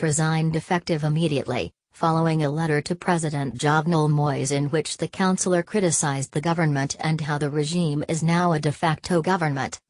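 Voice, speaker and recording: A woman's voice: low-pitched, darkish tone, no echo. A woman newsreader delivering a scripted news bulletin.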